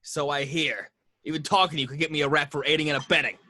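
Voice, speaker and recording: Man acting a gruff, forceful, exasperated detective. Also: on the high side, steady tone, fast. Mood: suspicious, weary. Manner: curt, irritated. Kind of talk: amateur table read of a film script.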